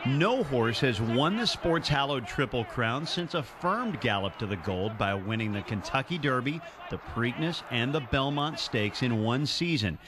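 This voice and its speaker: A man's voice: medium pitch, animated, unhurried, hardly any pauses, noisy background. A man anchoring television sports news, reading scripted copy in a florid, alliterative broadcast style.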